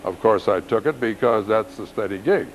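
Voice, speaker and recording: Older man, low voice, rambling. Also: moderate pace, slight background noise, poor audio. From New York City. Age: 70s. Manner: rambling, anecdotal, self-deprecating. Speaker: guest musician, clarinetist. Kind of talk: casual interview.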